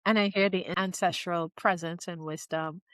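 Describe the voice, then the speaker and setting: A woman from Trinidad and Tobago hosts the conversation, reflecting back her guest's words and asking open questions. Her voice sits fairly low and sounds close and dry, with no room around it. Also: steady tone, normal speed, a bit bright, no room sound. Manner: affirming, lyrical, encouraging. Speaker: interviewer host. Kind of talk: warm interview conversation.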